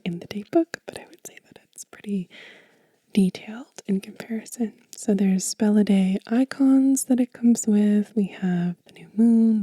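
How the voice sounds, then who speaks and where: A soft-spoken woman doing an ASMR show and tell, whispering gently and without hurry close to the microphone. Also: mid-range voice, dry recording. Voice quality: breathy, whispery, hushed. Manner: gentle, unhurried. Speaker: ASMR creator and host.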